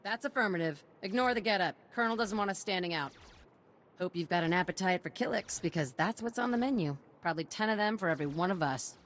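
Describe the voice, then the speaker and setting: Tough low-voiced army lieutenant. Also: female voice, expressive, fairly bright tone. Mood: wry, businesslike. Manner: brisk, wry military talk. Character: tough, wry, no-nonsense female army lieutenant. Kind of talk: video game voice acting. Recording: faint ambient noise.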